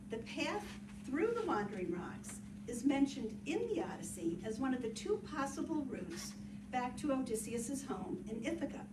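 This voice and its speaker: A woman with a fairly high voice presents a scripted introduction in an explanatory style. She is heard at some distance in an echoey room with clear background noise.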